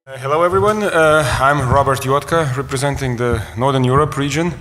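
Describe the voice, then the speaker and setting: A man's voice: medium pitch, brisk, fairly bright tone, faint music behind. A man with a Lithuanian accent hesitantly introducing himself as a panelist. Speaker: lawyer, panelist. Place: conference room. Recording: reverberant hall, faint noise.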